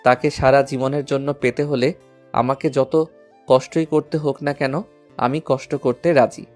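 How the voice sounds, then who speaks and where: A man's voice: mid-range voice, level tone, measured pace, darkish tone, natural voice. A man plays a devoted schoolboy in an audio love story. He is earnest and sentimental, with little rise and fall in his voice. Faint music plays behind him. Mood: yearning, determined. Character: lovesick, devoted schoolboy, respectful to elders. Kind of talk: Bengali audio story acting.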